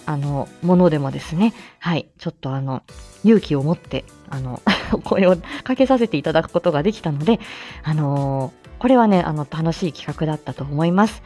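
Animated woman chatting over soft music. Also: deep voice, brisk. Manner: chatty, laughs often while talking. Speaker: host of a live morning audio show. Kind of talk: casual solo chat to live listeners. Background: background music.

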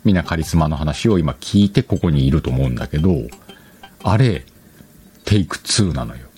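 A man's voice: extremely low pitch, lively intonation, normal speed, darkish tone. A man hosting a solo podcast in a casual chat, playfully talking to himself and correcting himself as he goes. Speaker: podcast host. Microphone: external microphone, recorded on a computer.

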